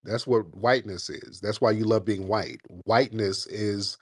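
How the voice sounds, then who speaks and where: A man's voice: lowish voice, steady tone, moderate pace, dry recording, clean studio sound. Combative male call-in radio host. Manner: combative, interrupting, lecturing.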